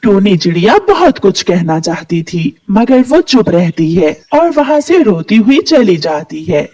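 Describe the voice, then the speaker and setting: Female storyteller for cartoon fable narration, mid pitch with wide swings. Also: big pitch swings, a little slow, dark tone.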